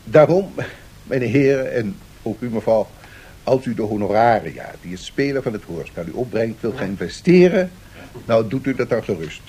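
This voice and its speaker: Lively, didactic male professor. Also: breathy edge. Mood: explanatory, measured. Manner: didactic, deliberate, formal. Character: learned male economics professor, measured and cautionary. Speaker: professor answering questions. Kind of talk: radio play lecture, explanatory dialogue. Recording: 1970s radio recording with faint rumbling noise.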